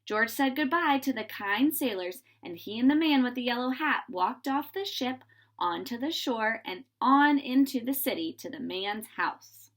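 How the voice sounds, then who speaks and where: Woman, fairly high voice, storybook read-aloud, animated delivery, suspenseful pauses. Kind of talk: expressive reading of a children's storybook.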